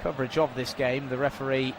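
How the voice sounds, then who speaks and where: A man giving unofficial live commentary on a football match, calmly filling a stoppage with updates and statistics. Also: medium pitch, noisy background. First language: not Dutch. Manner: measured, informative, filling time with stats and updates.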